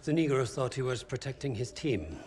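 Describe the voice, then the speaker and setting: A man's voice: mid-range voice, very animated, darkish tone, slight background noise, natural voice. A man playing a cold interrogator. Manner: smooth, condescending, measured. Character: cold arrogant male Nazi officer interrogator. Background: music.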